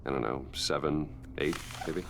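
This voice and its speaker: An actor playing a guarded, wealthy businessman in a video game, courteous and measured but defensive. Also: very deep voice, vocal fry, background noise. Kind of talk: video game dialogue acting.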